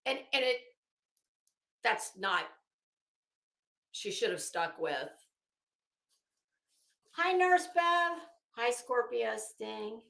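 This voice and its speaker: A woman host reading aloud and adding sarcastic, emphatic, halting commentary as she goes. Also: on the high side, very animated, gravelly, fairly bright tone. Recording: echoey room sound.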